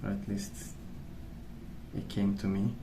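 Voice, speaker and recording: A man speaking softly in a hushed, unhurried ASMR ramble, musing as he goes. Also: fairly low pitch, completely flat delivery, creaky, reverberant, background noise. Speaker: ASMR video host. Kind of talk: soft-spoken ASMR rambling while colouring.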